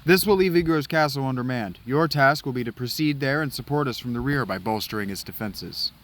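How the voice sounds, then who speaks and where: A man playing a booming knight commander. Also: fairly quick, fairly bright tone, lightly acted. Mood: commanding. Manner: booming, formal and declamatory. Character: stern male knight commander addressing apprentices.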